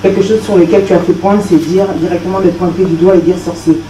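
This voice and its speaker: A woman journalist from France who asks a question in a measured, polite and earnest way at a conference. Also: fairly low pitch, fairly even intonation, background noise. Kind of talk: formal audience question. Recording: distant, echoey room with faint ambience.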